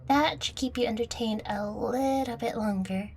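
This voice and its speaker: A woman voicing a playful, affectionate young catgirl girlfriend in an audio roleplay, teasing and coy, speaking closely to the listener with a breathy voice. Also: fairly high pitch, moderate pace, slight background noise, close to an everyday voice.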